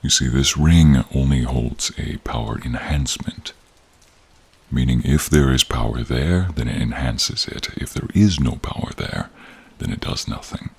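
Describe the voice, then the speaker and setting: Guy doing a super low husky dragon in human form, soft n caring, slow halting fantasy roleplay. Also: heavily acted. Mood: earnest, tender. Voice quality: very deep, gravelly, husky, breathy. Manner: tender, halting, hushed, drawn-out phrasing. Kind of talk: audio role-play speaking to an unheard listener. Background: rain and cave ambience.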